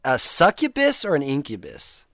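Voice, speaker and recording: Male podcast host, crude jokey banter, very animated pitch, dry close sound. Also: moderate pace, dry recording. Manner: crude, joking, laughs a lot. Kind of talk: casual podcast chat.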